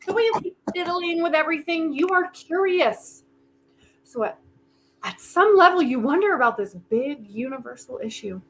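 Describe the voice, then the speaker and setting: A woman acting in a staged play reading as a desperate, quick-witted, volatile woman who argues in a halting, emphatic way, thinking aloud. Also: sits high, animated, slight background noise, rough recording. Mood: urgent, reasoning.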